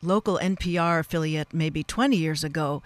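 Higher-pitched American man hosting radio, halting delivery. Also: no room sound. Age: middle-aged or older. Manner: halting, rambling, folksy address to listeners. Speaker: radio show host. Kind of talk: live campus radio interview and sign-off. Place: radio station studio. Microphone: close studio radio microphone.

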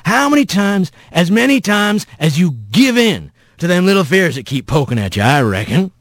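A man voicing a huge, mocking but paternal spirit creature, with a soft rumbling voice and rustic folksy drawl. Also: very animated, normal speed, no room sound, studio quality. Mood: mocking, stern.

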